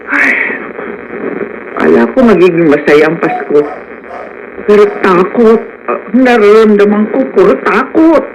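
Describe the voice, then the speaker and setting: Frightened actress as a woman, swooping pitch. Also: lively intonation, measured pace, pauses often, natural voice, low-quality recording. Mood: fearful. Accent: Filipino. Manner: fretful, hesitant. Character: frightened, guilt-ridden woman accomplice, anxious and wavering. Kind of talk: Filipino radio drama acting.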